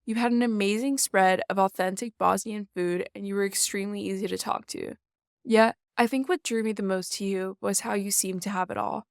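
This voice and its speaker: A woman's voice: medium pitch, steady tone, a little fast, gravelly, smooth, dry recording, studio quality. A teenage girl from New York City, a student reporter asking a guest admiring, partly scripted interview questions. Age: teens.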